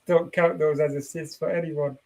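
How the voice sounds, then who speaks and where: A man's voice: on the high side, level tone, moderate pace, creaky, darkish tone. A man chatting casually as a fan calling in to a football show. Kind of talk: casual chat.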